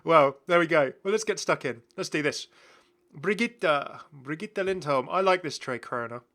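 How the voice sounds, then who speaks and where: Bright-voiced man chatting enthusiastically about games. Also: fairly high pitch, expressive, normal speed. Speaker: gaming video presenter. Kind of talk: rambling casual game commentary.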